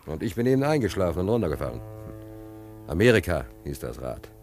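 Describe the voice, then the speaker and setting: A naive, excitable sailor spins his tale of woe for a radio play. His voice sits fairly low but leaps about in pitch. He talks emphatically and colloquially, repeating himself to drive things home. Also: quick pace, faint music behind, heavily acted. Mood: agitated lament. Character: agitated, stranded, naive sailor without papers telling his woes. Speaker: actor. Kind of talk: German radio play acting.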